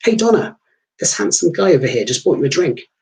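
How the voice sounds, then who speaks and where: A man's voice: fairly high pitch, animated, quick pace. A man voicing a friendly, teasing barman in a story reading. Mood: calling out. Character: friendly, teasing male barman and old buddy. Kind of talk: fiction reading.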